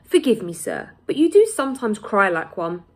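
Woman voicing a sly, condescending royal adviser, husky. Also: mid-range voice, big pitch swings, moderate pace, a little mellow. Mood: mocking. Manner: smooth, condescending, falsely courteous. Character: sly, menacing, mock-polite male royal adviser.

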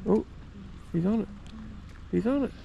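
A man with a high, creaky voice talks in a folksy, exclamatory fishing-vlog style. He is recorded outdoors with clear ambient noise around him. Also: expressive, moderate pace, dark tone, lo-fi sound. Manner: folksy, exclamatory, mild swearing. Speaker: fishing vlogger. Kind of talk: casual excited chat while fishing. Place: outdoors at a trout stream.

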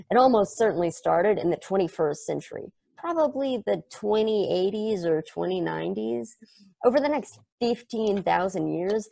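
Woman reading as a nerdy game fan, gravelly edge, rambly and earnest, video call mic. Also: medium pitch, dark tone. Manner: earnest, rambling, self-correcting. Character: eager, nerdy fan explaining a strange game. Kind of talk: table read of a stage play.

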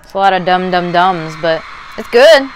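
A young woman making a casual reaction vlog at home, chatty and upbeat, muttering low while she watches, recorded on a lapel mic. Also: animated, moderate pace, slight background noise, low-quality recording. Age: young adult. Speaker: YouTube reaction vlogger. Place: bedroom at home, indoors.

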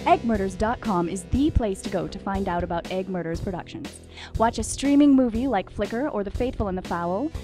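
A woman announcer reading a scripted promo. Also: mid-range voice.

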